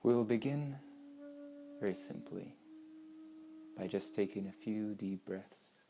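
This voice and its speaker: A woman leading a guided meditation in a measured, instructing manner, with long pauses between short phrases. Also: extremely low pitch, background noise. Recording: voice over meditation music with flute.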